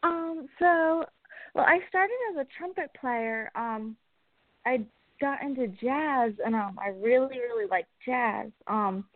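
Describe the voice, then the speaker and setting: A young woman singer answering interview questions, pausing often with many ums and small laughs. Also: a little high, no echo. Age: young adult. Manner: hesitant, many ums, giggly. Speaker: singer, guest. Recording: tinny phone-like line.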